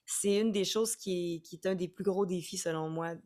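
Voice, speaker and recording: Chatty Quebec French woman, lower voice. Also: fairly even intonation, normal speed, a little mellow. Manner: chatty, many restarts and repeated words. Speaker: singer-songwriter guest. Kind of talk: casual interview chat.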